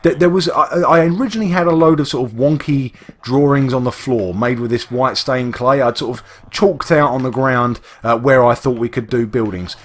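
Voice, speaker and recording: A man's voice: mid-range voice, moderate pace, few pauses. A man with an East London accent, chatting casually and rambling with lots of restarts while he plays a game. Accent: East London English. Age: adult, works full time. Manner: rambling, chatty, with frequent restarts and self-interruptions. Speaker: Minecraft YouTuber. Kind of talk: casual gameplay commentary.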